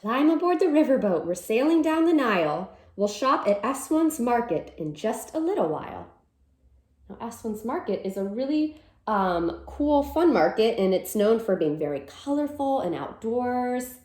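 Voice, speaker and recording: Female storytime teacher, mellow tone, very animated pitch, simple explanatory style for kids, roomy echo. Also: moderate pace. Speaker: teacher reading a picture book aloud to children.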